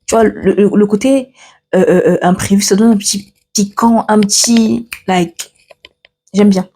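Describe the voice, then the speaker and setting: A woman's voice: mid-range voice, unhurried, mellow. A woman in her twenties, from Cameroon, chatting casually about herself in a get-to-know-me vlog, with many fillers and restarts as she checks her notes. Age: 20s. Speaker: vlogger.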